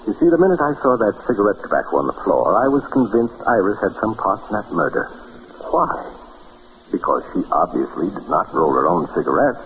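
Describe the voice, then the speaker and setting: Self-satisfied detective, theatrical, very animated intonation, rhetorical, vintage radio play, muffled recording. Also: male voice, on the low side, a little fast. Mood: proud, explaining. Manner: theatrical, rhetorical questions answered by himself. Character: self-satisfied male lawyer-detective, keen to boast. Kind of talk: old-time radio drama acting. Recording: muffled old radio broadcast, faint hiss.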